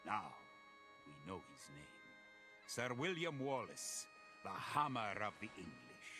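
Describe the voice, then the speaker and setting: An actor playing a grave, resolute medieval Scottish soldier, narrating a war in a dramatic, theatrical storytelling style for a game cutscene. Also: male voice, on the low side, sing-song, measured pace. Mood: proud, stirring. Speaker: campaign narrator. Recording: music under the voice.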